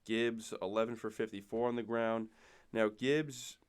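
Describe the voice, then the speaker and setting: A young man, hoarse and nasal from a cold, co-hosting a casual podcast and rattling off game stats. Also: on the low side, steady tone, a little slow. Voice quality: raspy, nasal. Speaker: podcast co-host. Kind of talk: casual sports chat.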